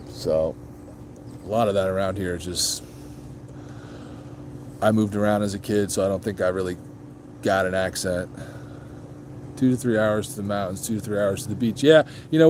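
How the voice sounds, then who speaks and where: Chatty man with a low voice. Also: a little mellow, slight background noise. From grew up moving around as an Army child. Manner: rambling, restarts and ums, answers chat questions. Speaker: motorcycle vlog host reading live chat. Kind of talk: casual chat with viewers.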